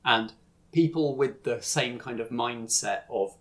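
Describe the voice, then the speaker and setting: A man running a tabletop role-playing game, explaining things in a measured way and pausing to pick his words. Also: medium pitch, fairly bright tone. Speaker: game master.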